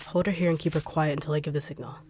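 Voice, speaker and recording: A young woman voicing a blunt, sharp-tongued treasure-hunting bodyguard in an audio roleplay drama, brusque and mocking. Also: low-pitched, steady tone, racing, lightly acted. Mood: menacing.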